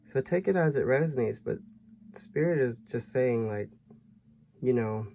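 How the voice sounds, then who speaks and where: A man giving a tarot reading to viewers in a soft, musing way, his voice a little hoarse. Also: medium pitch, animated, unhurried, slight background noise. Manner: soft, musing, thinks aloud with fillers. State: slightly hoarse, losing his voice. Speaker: tarot reader.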